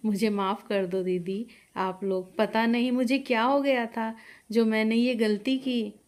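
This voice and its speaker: Woman playing blunt young daughter-in-law, high voice. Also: steady tone, measured pace, darkish tone, close to an everyday voice. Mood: apologetic. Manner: complaining, emphatic.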